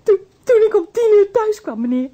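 An actress playing a distraught, timid and deferential housekeeper in a Dutch radio drama, speaking haltingly. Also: female voice, extremely high pitch, sing-song, mellow. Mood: nervous, polite.

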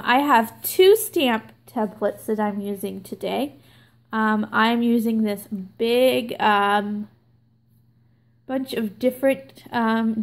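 A young woman hosting her own nail art channel, explaining a stamping tutorial slowly and with many ums. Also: on the high side. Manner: slow, filler-heavy explaining with many ums. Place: indoors at a table, hands filmed from above.

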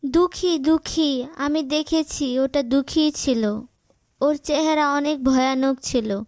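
Cartoon stepmother, voiced by a woman, high, panicky, pleading cries. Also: slow pace, darkish tone, dry recording. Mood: frightened. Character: guilty stepmother, frightened and pleading. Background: background music.

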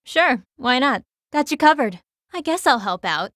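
A blunt, nonchalant young fighter from a video game. She tosses off casual, sardonic lines in a fairly high voice with expressive swings. It sounds close and dry. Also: a little slow, dry recording, exaggerated voice. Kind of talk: video game battle voice clips.

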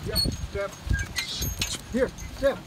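A man in his eighties, a horse farmer, calling short commands to his team outdoors and chatting plainly. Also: high voice. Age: 80s. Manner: short clipped words, plain and unhurried. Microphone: vlogger's phone camera nearby. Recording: loud wind and outdoor noise. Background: horse hooves, rustling, vehicles.

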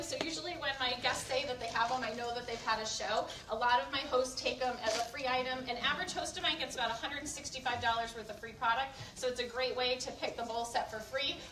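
Bright, high-voiced woman demonstrating kitchenware. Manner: chatty, instructive, often checking in with questions. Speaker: cooking show demonstrator selling kitchenware. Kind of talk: live product demonstration to a group. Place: indoor room at a live event. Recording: roomy, distant mic, faint background noise.

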